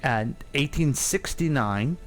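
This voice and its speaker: A man chats on a radio show in a middle-range voice, wandering through a story with plenty of "uh"s and false starts. He thinks out loud as he goes. Soft music runs quietly underneath. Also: medium pitch, expressive, slow. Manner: rambling storyteller, thinks aloud with fillers. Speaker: radio host. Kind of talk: casual radio talk. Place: radio studio.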